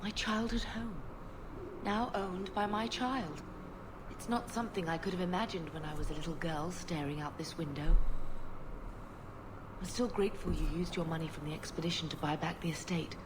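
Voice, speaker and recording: A low, middle-aged noblewoman played by an actress speaks with gentle wistfulness, a mother reflecting on home and family. It is video game dialogue with clear noise and ambience behind it. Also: fairly even intonation, moderate pace, lightly acted. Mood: wistful.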